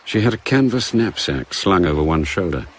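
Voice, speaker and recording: An actor playing the grave narrator of a dramatised spy novel, measured and literary in his delivery. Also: sits low, lively intonation, slight background noise. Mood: grave, observant. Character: grave male storybook narrator of a spy thriller.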